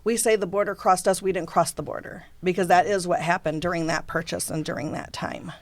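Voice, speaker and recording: A woman's voice: fairly low pitch, fairly even intonation, fairly quick. A woman from Texas, speaking as a panelist in a conversational interview, explaining with emphatic engagement.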